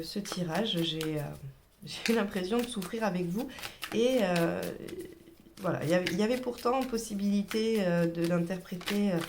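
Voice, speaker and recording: A woman's voice: on the low side, noisy background. A woman with a cold giving a casual tarot reading, chatty and hesitant, sometimes dropping to a whisper. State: has a cold. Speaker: tarot reader.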